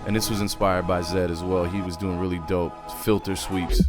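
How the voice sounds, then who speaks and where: A man giving a casual music software tutorial in a laid-back, slangy, rambling way, as host. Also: deep voice, little pitch movement, moderate pace. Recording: loud music over the voice. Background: hip hop beat and synth playback.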